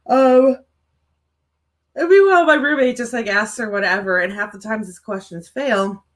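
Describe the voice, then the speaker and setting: A woman's voice: a little high, normal speed. A woman with a mild stutter, chatting casually to viewers as the co-host of a writing livestream, with many fillers.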